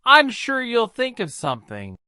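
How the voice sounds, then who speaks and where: A woman's voice: fairly high pitch, huge pitch swings, moderate pace, pushed and bright, smooth, no room sound, clean studio sound, voice pushed up, full character voice. A woman voicing a friendly, easygoing storybook dragon who is mild and reluctant. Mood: sleepy, unbothered.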